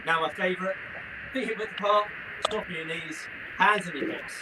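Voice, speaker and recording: A man's voice: high-pitched, fairly even intonation, moderate pace. A man giving live exercise instructions in an upbeat, encouraging manner. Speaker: practitioner leading a warm-up class. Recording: noisy room sound, far from mic.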